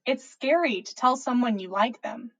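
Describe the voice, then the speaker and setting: A woman's voice: a little high, lively intonation, moderate pace, fairly bright tone, muffled recording. A woman reading a story and voicing an earnest, confused, lovestruck teenage witch with a pleading, questioning manner. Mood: earnest, confessional.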